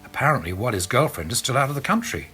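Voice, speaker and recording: A man's voice: medium pitch, sing-song, very fast, bright, forceful tone, soft background music. A man voicing an awkward, apologetic Australian woman friend who stammers and hesitates as she delivers bad news. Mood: flustered. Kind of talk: audiobook character voice.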